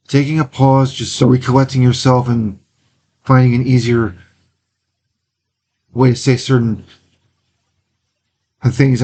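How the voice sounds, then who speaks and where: Earnest young man, halting speech. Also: medium pitch, darkish tone. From Wisconsin. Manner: earnest, halting, with frequent pauses and restarts. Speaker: interview guest. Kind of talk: casual interview talk.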